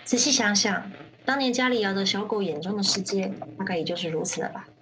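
A woman's voice: medium pitch, quick pace, a bit bright, a little hiss or hum, lightly acted, rough recording. A woman playing a jaded riot-squad member who narrates a grim radio drama in the first person with detachment. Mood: detached.

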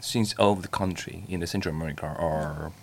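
Very deep-voiced man hosting an interview. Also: steady tone, gravelly, a little hiss or hum. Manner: halting, searching for words, repeats himself. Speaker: interview host, former club president. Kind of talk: casual conversational interview.

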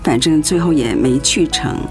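A woman's voice: low voice, moderate pace, close to an everyday voice. An actress playing a doting, fussy older mother and grandmother in a radio drama. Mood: subdued, reflective. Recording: music behind the voice.

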